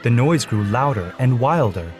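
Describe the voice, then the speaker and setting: A man's voice: medium pitch, expressive, moderate pace, soft background music. A man reading a scripted children's story as its narrator.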